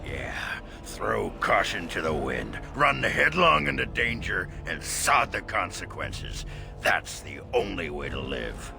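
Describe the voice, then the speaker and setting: A man playing a crude, reckless dwarf warrior in a video game, brash and gruff with a gravelly voice. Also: sits low, sing-song, slow pace, exaggerated voice. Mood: gruff bravado. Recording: music behind the voice.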